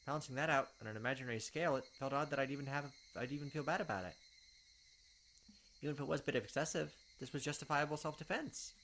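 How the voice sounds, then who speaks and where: Let's play narrator, male, mid pitch, dramatic reader, faint insect noise behind. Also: a bit bright, rough recording. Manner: dramatic reading with quick asides. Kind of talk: reading visual novel text aloud with commentary.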